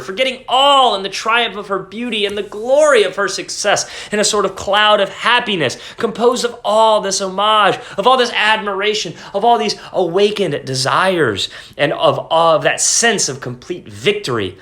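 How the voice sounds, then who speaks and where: Bright, expressive male storyteller. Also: on the high side. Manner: expressive, deliberate. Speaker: reader. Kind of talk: dramatic reading of a classic short story.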